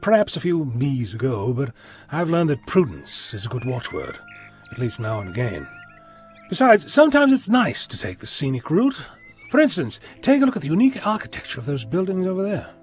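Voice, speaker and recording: A man acting in an audio drama as an eccentric, self-assured time traveller, wordy, breezy and gently lecturing. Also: on the high side, wildly swooping pitch, a little fast, faint music behind. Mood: breezy, wry.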